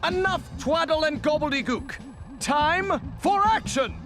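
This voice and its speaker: An actor playing a hearty, old-fashioned cartoon game hero, blustery and impatient for action. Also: male voice, very high voice, animated, a little slow, music in the background. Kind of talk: cartoon video game acting.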